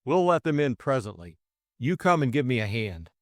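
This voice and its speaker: A man voicing a calm, reassuring police superintendent in an audiobook, easy and unflappable. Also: medium pitch, normal speed, no echo, clean studio sound, natural voice. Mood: brisk. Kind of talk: audiobook character acting.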